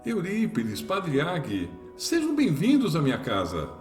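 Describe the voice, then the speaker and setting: A man's voice: on the high side, wildly swooping pitch, unhurried, a little mellow. Man playing a genial colonel, echoey. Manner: formal, affable. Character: courteous, genial small-town colonel, host and moderator. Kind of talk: religious radio drama acting. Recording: echoey room, distant, music behind.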